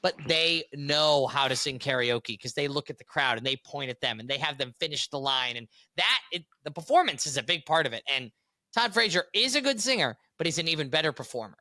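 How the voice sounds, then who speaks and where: Young male co-host, bright and lively, tenor-ish, close dry studio mic. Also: a little high, a little fast, no echo. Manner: upbeat, animated storyteller. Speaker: podcast co-host. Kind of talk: casual chat.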